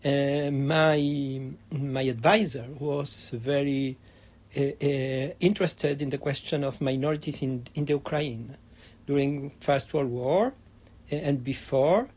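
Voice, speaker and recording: A man's voice: mid-range voice, fairly even intonation, takes it slowly, a little mellow. A middle-aged man from Argentina, a history professor answering interview questions in a hesitant way, with many fillers and restarts. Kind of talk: academic interview.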